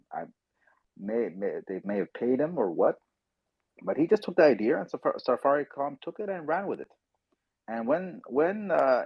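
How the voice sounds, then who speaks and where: A man's voice: mid-range voice, breathy edge, no echo. A man hosting a casual podcast chat on an audio call, talking loosely and telling stories with acted-out voices. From South Sudan. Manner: chatty, acts out voices when telling stories. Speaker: podcast host. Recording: dry tinny phone-line sound.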